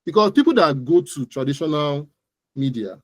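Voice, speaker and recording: A man's voice: a little high, lively intonation, normal speed, no room sound, clean studio sound. A man with a Nigerian accent, an expert guest explaining informally and repeating phrases for emphasis. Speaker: guest expert, digital marketer. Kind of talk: informal explanatory interview talk.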